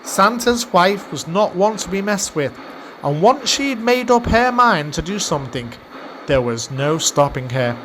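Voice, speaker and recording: A man's voice: high-pitched, sing-song, unhurried, fairly bright tone, a little hiss or hum, exaggerated voice, poor audio. A man reading scripted storytelling narration for a Christmas radio comedy. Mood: wry storytelling.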